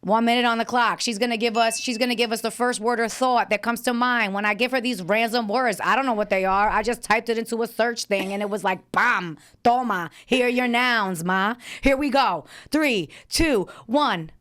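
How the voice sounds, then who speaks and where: A woman's voice: fairly high pitch, moderate pace, hardly any pauses, fairly bright tone. A woman hosting a podcast in a recording studio, talking in an exuberant, playful and slangy way. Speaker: podcast host. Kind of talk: casual interview chat.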